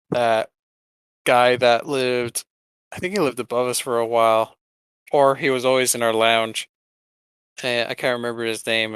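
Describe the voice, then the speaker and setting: A man with a bright, crisp voice chats casually as a podcast co-host. The recording is dry and close, and his delivery is conversational and joking. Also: medium pitch, dry recording. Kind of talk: casual banter.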